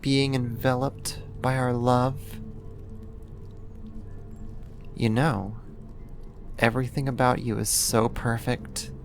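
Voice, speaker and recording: An actor playing an obsessive, possessive neighbor turned kidnapper in an ASMR roleplay, speaking in a deliberate, intimate, controlling way. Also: mid-range voice, little pitch movement, faint music behind, lightly acted. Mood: seductive, possessive.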